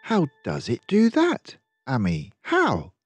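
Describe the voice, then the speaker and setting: A man's voice: medium pitch, wildly swooping pitch, takes it slowly, pitched above the performer's own voice. A man voicing a curious, eager little boy in a children's storybook, with a questioning, lilting manner. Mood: puzzled.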